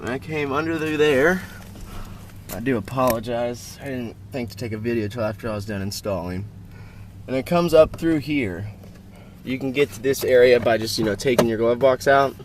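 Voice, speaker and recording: A man's voice: medium pitch, moderate pace. A man giving an informal, plainspoken how-to walkthrough as a DIY video host, filmed in and around a pickup truck. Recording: clear background noise, vehicle ambience.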